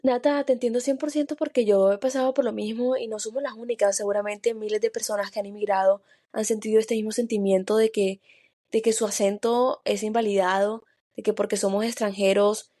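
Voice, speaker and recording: A woman's voice: medium pitch, steady tone, a little fast, dark tone. Woman, Spanish-speaking accent, podcast co-host, heartfelt, personal, repeats small words. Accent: Spanish-speaking foreign accent. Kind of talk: reflective podcast chat.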